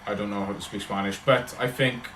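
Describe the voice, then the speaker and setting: A man's voice: fairly low pitch, steady tone, fairly quick, fairly bright tone, echoey room, a little hiss or hum. A man with a Welsh accent, chatting casually and rambling on a livestream as he answers his viewers. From Wales. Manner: rambling, chatty, talks in loose run-on thoughts.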